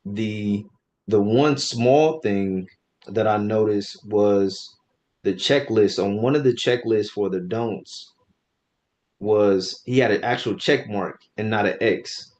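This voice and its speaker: A man's voice: fairly low pitch, slow pace, darkish tone. A man co-hosting a podcast who gives direct, informal feedback on a speech and repeats words while he thinks. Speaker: podcast co-host giving speech evaluation. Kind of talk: casual evaluative discussion.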